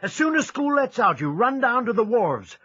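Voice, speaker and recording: A man's voice: extremely high pitch, very animated, normal speed, pushed and bright, lo-fi sound, heavily acted. A man playing a strict, practical, exasperated father in a period audio drama. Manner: firm, exasperated.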